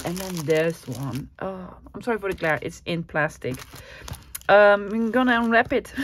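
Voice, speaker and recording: A woman from the Netherlands with a Dutch accent, chatting casually as she shows off a craft supplies haul, gushing and enthusiastic. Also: fairly low pitch. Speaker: craft vlogger.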